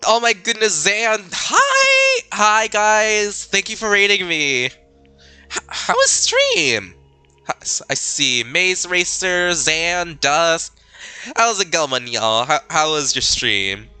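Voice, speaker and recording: A man live-streaming a game with goofy, excitable commentary, reading the text aloud with a slightly stuffy nose. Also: sits high, takes it slowly, smooth, no echo, soft background music.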